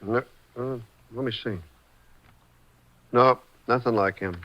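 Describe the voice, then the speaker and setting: A man's voice: mid-range voice, fairly even intonation, takes it slowly, lightly acted. A man playing a tired, folksy, hesitant eyewitness in a 1950s radio drama. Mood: weary. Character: weary, worn-out male eyewitness. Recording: muffled 1950s radio recording.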